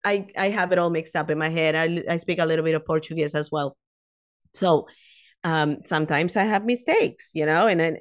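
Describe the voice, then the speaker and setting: A woman in her fifties, not a native English speaker, chatting as a guest on a podcast and telling stories with many restarts and asides. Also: deep voice. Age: 50s. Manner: animated storyteller, frequent restarts and "you know". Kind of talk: casual interview chat.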